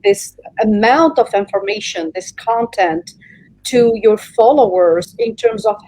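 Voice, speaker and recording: A woman's voice: medium pitch, expressive, a little slow, dark tone, poor audio. A woman interviewing a guest, encouraging and asking long questions with some hesitation.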